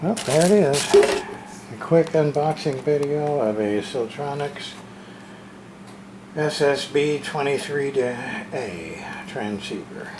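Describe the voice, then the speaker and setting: A man's voice: fairly high pitch, animated, takes it slowly, background noise. A man casually narrating a hands-on unboxing as a radio repair hobbyist, talking to himself in folksy short fragments.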